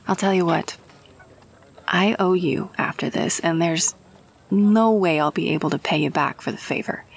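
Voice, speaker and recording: A woman's voice: mid-range voice, creaky, breathy edge, exaggerated voice. A woman playing a friendly, grateful rogue-for-hire in a fantasy audio roleplay, talking casually and chummily to the listener.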